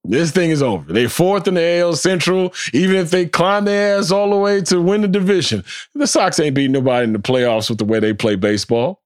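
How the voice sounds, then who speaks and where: A man's voice: a little high, a little fast, dry recording, clean studio sound. An American man hosting a sports podcast, talking casually and bluntly, with slang, swearing, jokes and phrases repeated for emphasis. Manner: blunt, joking, slangy and profane. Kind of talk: casual opinionated sports talk.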